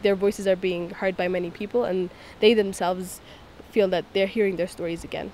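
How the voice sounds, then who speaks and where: A woman's voice: mid-range voice, steady tone, normal speed, darkish tone, slight background noise. A woman on a drama team, explaining how she works in a documentary interview. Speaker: member of a radio drama team.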